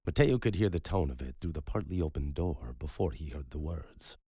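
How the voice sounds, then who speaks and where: A man reading scripted dramatic fiction as an audiobook narrator, in a husky voice. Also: very deep voice, expressive, fairly quick, mellow, dry recording, clean studio sound.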